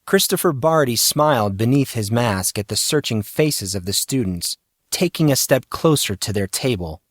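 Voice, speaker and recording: A man's voice: medium pitch, expressive, normal speed, no echo, clean studio sound. A man reading scripted fiction narration as the narrator of an audio story.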